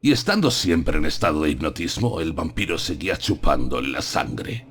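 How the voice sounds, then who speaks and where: An actor playing a solemn, learned old professor who hunts vampires, explaining things deliberately in a gothic audiobook drama. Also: male voice, very deep voice, big pitch swings, fairly bright tone, a little hiss or hum, heavily acted. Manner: solemn, didactic, deliberate phrasing.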